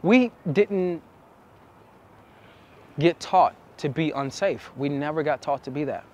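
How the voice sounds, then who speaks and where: A young man explaining to camera as a dating coach, with emphatic, deliberate phrasing and pauses, recorded outside on a city street. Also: a little high, darkish tone. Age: young adult. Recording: outdoor city street with traffic noise.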